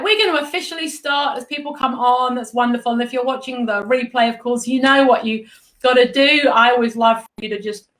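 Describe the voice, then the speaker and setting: A woman's voice: fairly high pitch, a bit bright. An Australian woman hosting a live-streamed interview in a bubbly, upbeat, chatty way. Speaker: host and art business mentor. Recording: echoey room.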